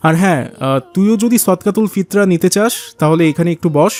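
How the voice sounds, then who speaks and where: A man's voice: high-pitched, fairly quick, a little mellow, close to an everyday voice. A man voicing a pompous, condescending rich businessman and father in a cartoon. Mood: bossy. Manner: bossy, condescending. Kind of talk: cartoon dialogue acting.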